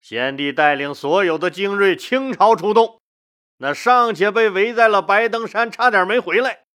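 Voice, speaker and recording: Casting: narrator doing a combative male official of ancient China, forceful, scornful, rhetorical delivery. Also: medium pitch, moderate pace, natural voice.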